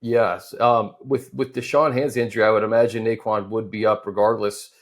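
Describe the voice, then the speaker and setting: A man hosting a sports talk show conversationally, setting up questions in a measured, slightly halting way. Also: fairly low pitch, steady tone.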